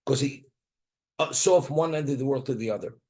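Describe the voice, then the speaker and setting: A man's voice: medium pitch, fairly quick, darkish tone. Man teaching a Torah class with rhetorical questions. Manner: poses rhetorical questions and answers them; mixes Hebrew and Aramaic terms into English explanation.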